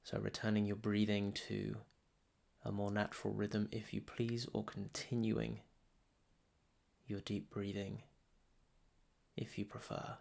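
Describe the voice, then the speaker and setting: A man leading a guided meditation as a teacher, speaking soothingly and deliberately in short phrases with long pauses between them. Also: on the low side, monotone, creaky, darkish tone.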